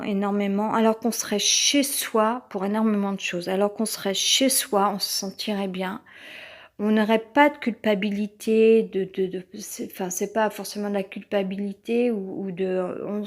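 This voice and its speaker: Hesitant French woman with a cold. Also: mid-range voice. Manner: hesitant, many fillers and restarts; tense. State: has a cold. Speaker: vlogger. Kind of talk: rambling personal monologue.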